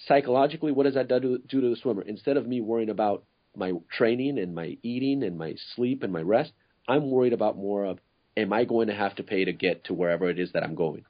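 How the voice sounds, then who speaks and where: A man from Ecuador talks through a podcast topic in an earnest, searching way, full of fillers and restarts. His voice sits low and a little husky. The recording is dull and lo-fi. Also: fast. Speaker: co-host. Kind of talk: casual podcast discussion.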